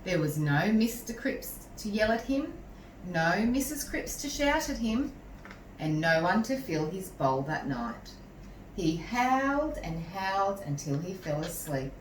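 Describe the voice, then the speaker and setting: A woman reads a children's story aloud like a teacher, slowly and with lots of expressive swing. She speaks to young listeners in a measured, animated manner. The recording is roomy, picked up at a distance from the microphone. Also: medium pitch. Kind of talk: expressive picture-book read-aloud with asides to young listeners. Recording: roomy echo, distant mic, some noise.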